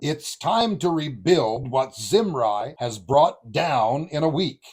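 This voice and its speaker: A man's voice: mid-range voice, animated, takes it slowly, loud music behind the voice. An actor playing a confident, proud army commander who becomes king, in a Bible audio drama, speaking in an assured way. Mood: indignant.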